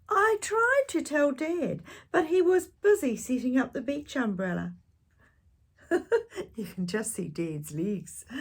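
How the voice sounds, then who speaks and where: A woman's voice: fairly high pitch, breathy edge. A woman hosting a children's storytime, reading a picture book expressively with wondering asides to young listeners. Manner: animated, gasping and hushed wondering asides.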